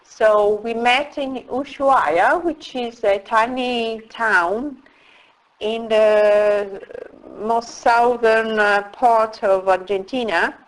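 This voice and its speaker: A middle-aged woman from Italy, telling her story as an interview guest in a slow, reflective way with frequent pauses. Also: on the high side, rough recording. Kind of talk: casual interview.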